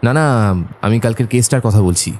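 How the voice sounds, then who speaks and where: A man playing an earnest, admiring doctor who narrates an audio drama in a measured storytelling way. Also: mid-range voice, darkish tone, lightly acted. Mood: reflective, earnest. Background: faint background music.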